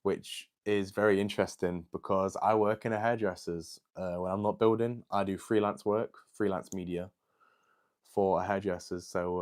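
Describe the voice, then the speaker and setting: An eighteen-year-old man hosting a casual livestream, chatting and answering viewer comments with lots of "like" and "um". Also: on the low side. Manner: chatty and rambling, with many "like" and "um" fillers.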